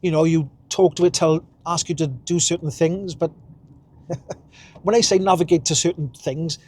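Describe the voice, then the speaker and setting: A man's voice: on the high side, fairly even intonation, normal speed, a little mellow. A Welsh man in his fifties, chatting casually and rambling while he drives, recorded in his car. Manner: chatty, rambling, chuckles at himself. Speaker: vlogger. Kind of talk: casual driving vlog. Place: inside a car while driving.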